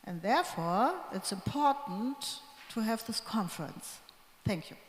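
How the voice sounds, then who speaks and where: A woman answering on a conference panel, slow and deliberate, pausing between phrases. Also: a little high, lively intonation. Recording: echoey conference hall.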